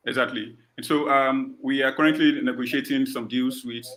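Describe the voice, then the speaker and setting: A man's voice: mid-range voice, steady tone, normal speed, fairly bright tone, rough recording. A man answering judges' questions as a startup founder on an online call, speaking unscripted.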